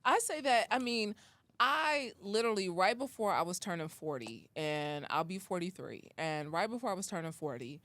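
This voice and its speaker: A woman's voice: lowish voice, lively intonation, moderate pace, fairly bright tone, dry recording. A woman in her forties hosting a podcast in a studio, chatting casually with playful, laughing banter and slangy asides. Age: 40s. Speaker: podcast host. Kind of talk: casual chat.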